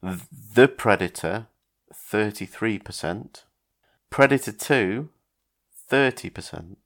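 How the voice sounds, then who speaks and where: A man's voice: on the low side, animated, slow pace, a bit bright. A man hosting a casual film podcast, talking in a hesitant way with many ums and restarts. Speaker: podcast host.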